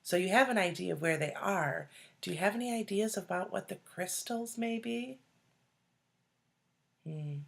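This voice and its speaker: A woman reading a children's storybook aloud like a teacher, deliberately, pausing to explain and put questions to young listeners. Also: mid-range voice, lively intonation, gravelly, fairly bright tone. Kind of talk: expressive storybook read-aloud with asides and questions.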